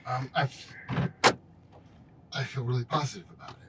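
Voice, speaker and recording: A man talks informally and haltingly, circling back over his approving points. He sits a little away from the mic, with loud vehicle noise around him. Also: mid-range voice, recorded from a distance. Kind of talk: casual chat.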